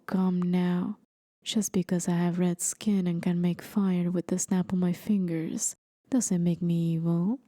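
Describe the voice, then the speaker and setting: Breathy, unhurried demon woman, acted by a woman. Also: fairly low pitch, dry recording, studio quality. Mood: playful coaxing. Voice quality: breathy, soft, often whispered. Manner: teasing, coaxing, gently theatrical. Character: playful, courteous red-skinned horned demon woman, forest guide. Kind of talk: ASMR roleplay, scripted acting.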